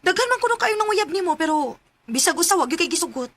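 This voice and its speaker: A woman's voice: very high voice, very animated, quick pace, fairly bright tone, full character voice. A woman playing a nosy, teasing young best friend in a radio drama, exclamatory, with a Filipino accent. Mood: teasing, prying. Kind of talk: Cebuano radio drama acting. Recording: faint background noise.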